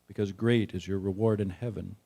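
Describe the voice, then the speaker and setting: Man, lowish voice, church pastor, measured scripture reading, deliberate delivery. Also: steady tone, vocal fry, mellow. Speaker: church pastor introducing the speaker.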